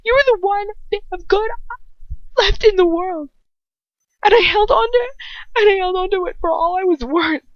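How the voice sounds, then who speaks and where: A woman's voice: very high-pitched, normal speed, pauses often, dry recording, highs cut off, pitched above the performer's own voice. A woman voicing a guilt-ridden young woman, pleading passionately and tearfully in a story reading. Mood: desperate, tearful.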